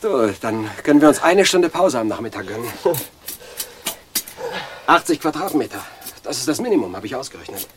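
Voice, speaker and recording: Ein Schauspieler als wahnhafter Anstaltspatient in einem Hörspiel, der eindringlich und verschwörerisch von seinen Ängsten redet. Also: male voice, medium pitch, big pitch swings, moderate pace, long pauses, slight background noise, close to an everyday voice. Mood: obsessive, earnest. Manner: urgent, conspiratorial explaining. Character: delusional asylum patient, obsessively weeding, fearful of women. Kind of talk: German radio drama acting.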